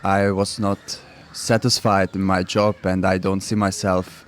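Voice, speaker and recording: Young man, non-native english, fairly low voice, relaxed, chuckles between answers. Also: measured pace, slight background noise. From Switzerland, Croatian family. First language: not English. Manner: relaxed, laughs often, simple non-native phrasing. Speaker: guest cyclist. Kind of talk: radio interview.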